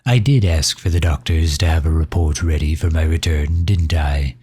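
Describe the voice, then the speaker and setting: A man performs a megalomaniac villain with an extremely low, husky voice. He is pompous and suave, talking down to his servant in formal, leisurely phrases. It is an ASMR roleplay, recorded close and dry. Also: moderate pace, dark tone, dry recording. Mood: musing, amused.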